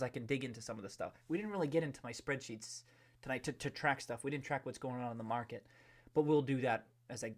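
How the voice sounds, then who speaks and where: A man's voice: mid-range voice, gravelly. Chatty man hosting a livestream. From New England. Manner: loose and chatty, many restarts, hums a tune. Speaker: livestream host. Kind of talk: casual livestream chat reading viewer comments.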